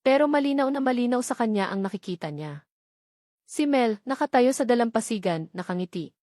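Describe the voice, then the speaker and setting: A woman's voice: fairly high pitch, expressive, fairly quick, a little mellow, dry recording, studio quality. A woman with a Filipino accent reads a scripted romance story as a dramatic audiobook narration.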